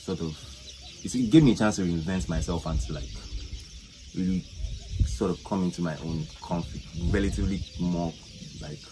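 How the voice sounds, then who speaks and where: Deep-voiced young man, hesitant. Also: normal speed, over music, low-quality recording. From Nigeria. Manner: hesitant, heavy use of fillers. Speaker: guest, medical student. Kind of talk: casual outdoor interview. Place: outdoors at a scenic park. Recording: outdoor recording with loud background noise.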